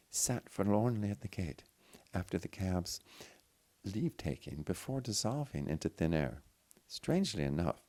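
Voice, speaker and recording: Deep, creaky-voiced male storyteller. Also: darkish tone, no room sound. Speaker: audiobook reader. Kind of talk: dramatic literary story narration.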